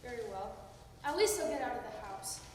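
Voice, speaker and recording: An actress playing a nervous, fearful young wife in a stage play, pleading and uneasy. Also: female voice, on the high side, animated, fairly quick, slight background noise, lightly acted. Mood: anxious. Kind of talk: amateur stage acting. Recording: echoey hall from far off.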